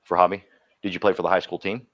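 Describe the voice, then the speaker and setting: A man coaching a live workshop audience, energetic and folksy, constantly quizzing the room and drawing answers out of people. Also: low-pitched, quick pace. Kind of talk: interactive seminar teaching.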